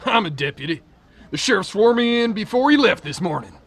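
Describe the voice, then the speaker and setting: A man playing a rough, self-important deputy in an old radio western. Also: sits high, big pitch swings, a little fast, a bit bright, heavily acted. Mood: blustering.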